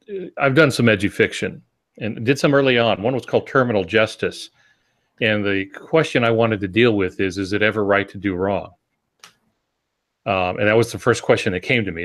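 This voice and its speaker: Low-pitched older man speaking earnestly. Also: fairly bright tone. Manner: earnest, rhetorical questioning, deliberate emphasis. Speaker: podcast co-host and novelist. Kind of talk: reflective conversational talk.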